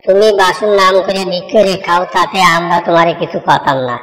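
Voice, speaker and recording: A stern cartoon village priest, acted by a man, haughty and admonishing. His voice sits a little high, and rings in long reverb. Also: fairly quick, a bit bright. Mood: condescending. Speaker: voice actor in a devotional cartoon. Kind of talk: scolding cartoon dialogue.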